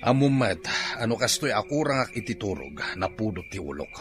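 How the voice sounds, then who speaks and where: Radio drama: irritated, groggy Ilocano man, groaning complaints, expressive lowish voice. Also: fairly quick. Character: groggy, irritated neighbour man kept from sleeping.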